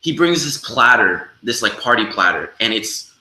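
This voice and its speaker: Animated man telling stories, bright echoey sound. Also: mid-range voice. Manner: halting delivery with repeated words and acted-out quotes. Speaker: band member being interviewed. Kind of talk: animated casual storytelling. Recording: echoey room.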